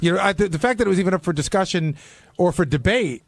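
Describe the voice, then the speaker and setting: A man's voice: sits high, animated, quick pace, dry recording. A man in casual studio discussion as a radio interviewer, probing and challenging what the others say.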